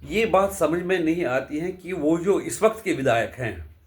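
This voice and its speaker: Slow-paced man giving commentary. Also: fairly high pitch, expressive. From India. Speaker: political commentator. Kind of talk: unscripted political news commentary.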